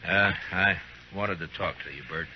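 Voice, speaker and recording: An actor as a low-voiced, stern frontier marshal. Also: male voice, normal speed, lightly acted. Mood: concerned, steady. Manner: firm, laconic, authoritative. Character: stern, steady frontier marshal, a grown man. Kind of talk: old-time radio western acting. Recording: muffled, noisy vintage radio recording.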